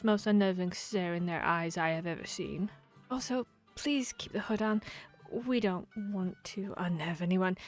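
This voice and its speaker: A woman playing a boastful, playful vampire hunter in a fantasy audio roleplay, speaking in a theatrical, expressive storytelling manner. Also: medium pitch, natural voice. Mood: teasing, reassuring. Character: boastful, playful female vampire hunter telling tavern tales. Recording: music behind the voice. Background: background music, tavern ambience.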